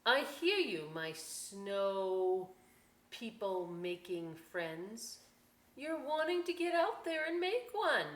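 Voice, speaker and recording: A woman hosting a children's library story time and craft demonstration, talking in a folksy, playful way with chatty asides to young viewers. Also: medium pitch. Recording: echoey room, some background noise.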